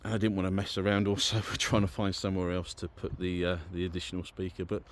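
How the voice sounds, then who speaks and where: A man's voice: sits low, flat delivery, fast talker. A British man giving a casual, chatty, easygoing walk-through as a vehicle owner, talking informally to a handheld camera. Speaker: vehicle owner presenting an installation. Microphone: handheld camera or phone.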